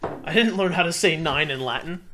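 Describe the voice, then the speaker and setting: A man's voice: a little high, normal speed, pushed and bright, a little hiss or hum. A man hosting a let's play with casual, rambling, jokey and self-deprecating commentary over game music. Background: video game music.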